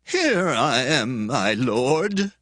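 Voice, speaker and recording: A man's voice: mid-range voice, big pitch swings, extremely slow, a bit bright, no echo, clean studio sound, heavily acted. An actor playing a humble, loyal priest in a dramatized audio Bible, pleading deferentially with his king.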